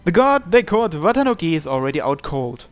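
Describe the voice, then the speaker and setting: Higher-voiced man narrating over music. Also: big pitch swings, fairly quick. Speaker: let's player reading a visual novel aloud. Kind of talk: reading visual novel narration and dialogue aloud.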